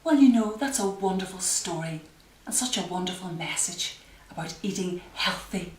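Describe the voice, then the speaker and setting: A woman reading a children's picture book aloud expressively, with dramatic emphasis and drawn-out key phrases. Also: lowish voice, animated. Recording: roomy echo, distant microphone.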